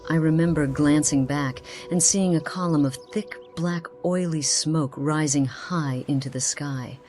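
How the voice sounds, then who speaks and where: Casting: actress for earnest, solemn woman companion in a fantasy RPG, unusually low register, courtly wording. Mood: haunted, grieving. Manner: formal archaic phrasing, earnest. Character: Alicia, grave haunted woman companion, formal and concerned. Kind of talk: dramatic fantasy game voice acting.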